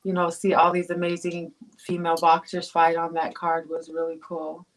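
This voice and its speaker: A woman's voice: on the low side, monotone, normal speed, low-quality recording. Woman from Tonga, rambling talk. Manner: rambling, many fillers and restarts. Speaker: guest, pro boxer. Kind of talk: casual interview chat.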